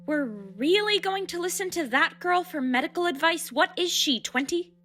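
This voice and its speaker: A woman acting as a snippy, protective wife in a radio drama. Her voice is high and sharp, with a cutting, sardonic, distrustful manner. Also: expressive, normal speed, faint music behind, full character voice. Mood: scornful.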